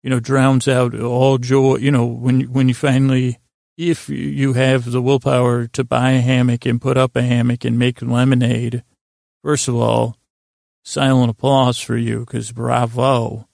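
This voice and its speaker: Very creaky, rambling man. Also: mid-range voice, flat delivery, a little mellow, dry recording, studio quality. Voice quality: heavily creaky, gravelly. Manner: meandering, halting, digressive. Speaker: podcast host. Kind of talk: rambling bedtime sleep podcast monologue. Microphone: close podcast microphone.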